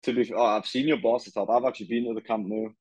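A man's voice: lowish voice, steady tone, fast talker, darkish tone, breathy edge, no room sound. A young man chatting casually about fights over a video call, laid-back and peppering his talk with "yeah, man". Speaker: podcast host. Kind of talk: casual fight-recap chat.

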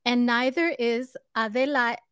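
A woman with a fairly high voice hosts an interview, reading a polished intro before moving into friendly chat. She greets her guest with an upbeat "love". The sound is dry and close to the mic. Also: steady tone, unhurried, clear, resonant tone, no room sound. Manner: warm, upbeat greeting of "loves". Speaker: podcast host. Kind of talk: interview host, scripted intro then chat.

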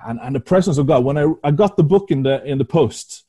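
A man from Norway, native speaker of Norwegian, hosting an English-language podcast interview with a Norwegian accent. He is effusive and quick to exclaim praise. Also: fairly high pitch, dark tone, breathy edge. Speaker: podcast host, prayer house leader.

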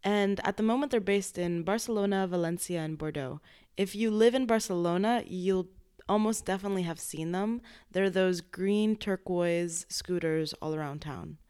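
A woman hosts a podcast, opening the episode and then interviewing her guest in a friendly, relaxed manner. Her voice is smooth and clear and sits slightly low. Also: normal speed, hardly any pauses. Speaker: podcast host.

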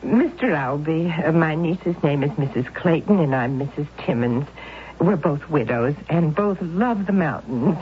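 Widowed aunt, reluctant accomplice, low-voiced, dull old radio audio with faint hiss. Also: female voice, lively intonation, a little slow. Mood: uneasy. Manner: nervous, reluctant. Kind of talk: 1970s radio drama acting.

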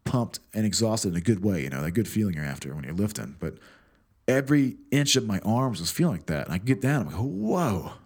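A man telling a fitness story in a casual monologue, profane, animated and self-mocking, often repeating words as he goes. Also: fairly low pitch, fast talker.

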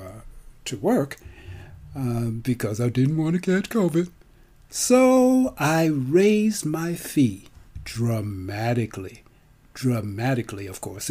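An older man speaks at a slow pace in a mellow, relaxed voice. He delivers a personal monologue as a working performer, leaning on key words and repeating them deliberately for emphasis. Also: mid-range voice. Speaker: actor and performer.

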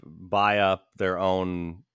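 Guy on a podcast, real deep smooth voice, opinionated, casual chat, no room sound. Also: level tone, drawn-out words, a bit bright, dry recording.

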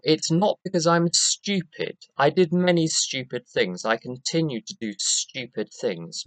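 An older man with a London accent speaks at a recovery meeting in a measured, reflective and quietly wry way. His voice sits in an ordinary middle register. The sound is dry, with almost no echo from the room. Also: medium pitch. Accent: London English. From England. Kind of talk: personal share at a recovery meeting.

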